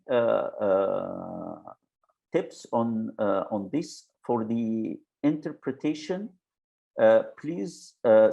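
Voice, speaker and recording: Halting webinar moderator, man, low and gravelly, lots of fillers. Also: darkish tone, dull audio. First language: Arabic. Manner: halting, many "uh" fillers and restarts. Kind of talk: hesitant webinar presentation.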